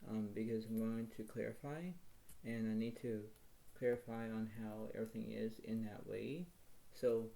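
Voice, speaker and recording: A man giving a casual tarot reading to his viewers. He speaks quietly and rambles, circling back to the same stock phrases. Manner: talks quietly, apologizes for his low voice. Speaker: tarot card reader.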